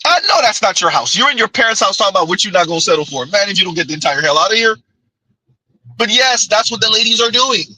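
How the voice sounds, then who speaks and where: A man's voice: sits high, expressive, fast talker, bright, forceful tone, slightly rough, no echo, poor audio. A man hosting a livestream, giving casual commentary, reading chat aloud and laughing often as he answers viewers. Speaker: livestream host.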